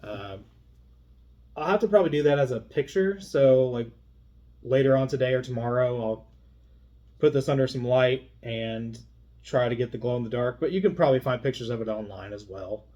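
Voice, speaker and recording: A man's voice: mid-range voice, moderate pace, lo-fi sound. A man chatting casually and unscripted as he presents an unboxing video, in a rambling, conversational way. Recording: clear steady background hum.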